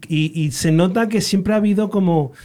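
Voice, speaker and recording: A host interviews a musician in easy conversation. The questions break off and start again as the thoughts come. The voice sits at a middling pitch. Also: moderate pace, warm tone. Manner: conversational, trailing off and restarting questions.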